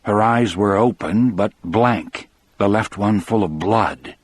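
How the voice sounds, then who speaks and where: A man narrating a dramatic first-person audiobook as a grief-stricken elderly former prison guard, in a measured, somber way. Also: lowish voice. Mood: somber, haunted.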